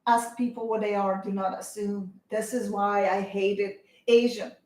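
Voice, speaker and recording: A woman's voice: mid-range voice, flat delivery, unhurried, darkish tone, echoey room. A woman co-hosting a talk show, speaking emphatically and insistently, as if lecturing. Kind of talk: opinionated talk show discussion.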